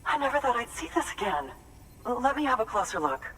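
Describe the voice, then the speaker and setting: A man playing a nervous, gentle, sentimental collector, hesitant and earnest, in a video game cutscene. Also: extremely high pitch, big pitch swings, fast, husky, recorded from a distance, exaggerated voice, low-quality recording. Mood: awed.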